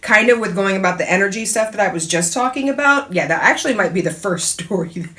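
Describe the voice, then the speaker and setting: A middle-aged woman from the United States talks as a radio host. She rambles and jokes with plenty of laughter, and her voice sits at an ordinary pitch for a woman. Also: quick pace. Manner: chatty, laughs often, animated asides. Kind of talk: casual solo talk show.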